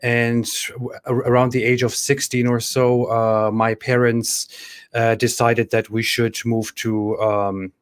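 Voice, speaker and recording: Man from Germany, interview guest, video call, measured and hesitant, dry sound, low monotone. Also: smooth, dry recording. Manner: measured, hesitant with filler "um"s and restarts. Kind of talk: interview storytelling.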